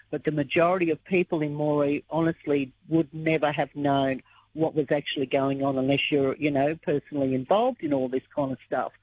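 A woman from Australia, croaky-voiced and earnest, talking emotionally in a radio interview. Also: deep voice, dry recording. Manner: earnest, emotional when recalling the past. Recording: tinny phone line.

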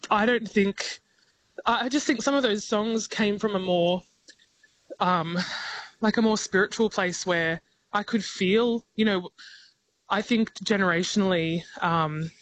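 A woman's voice: medium pitch, rough recording. Giggly Australian woman musician on the phone. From regional New South Wales, Australia. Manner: thoughtful, giggly, many ums and restarts. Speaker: singer-songwriter guest. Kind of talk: radio interview over the phone.

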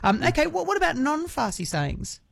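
A radio host, chatty and quick to laugh, interviewing a guest casually. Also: high-pitched, very animated, gravelly, fairly bright tone, dry recording. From Australia. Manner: chatty, laughs easily, rambling restarts.

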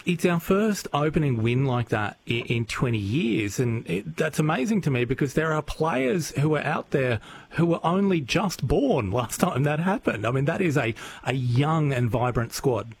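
A man's voice: on the high side, animated, moderate pace, gravelly, a little hiss or hum. A man hosting a conversational broadcast interview. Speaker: radio host, interviewer.

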